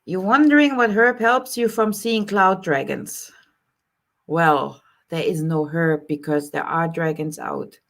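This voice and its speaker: A woman from Germany with a German accent, hosting a casual live question-and-answer stream, greeting viewers by name and talking in an unhurried way with many fillers. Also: on the low side. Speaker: live stream host, spiritual healer. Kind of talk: casual live Q&A, reading chat comments.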